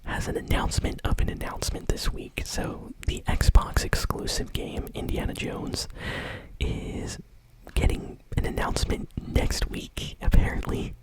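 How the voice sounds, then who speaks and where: A woman's voice: measured pace, poor audio. A woman hosting gaming news in ASMR style, whispering in a casual, conversational manner. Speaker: host of a gaming news show.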